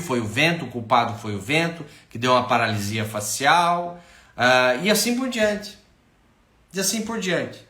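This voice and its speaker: A man giving a casual spoken health talk, animated, stacking lists and rhetorical questions with frequent self-corrections. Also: medium pitch, echoey room. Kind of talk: casual spoken lecture to camera.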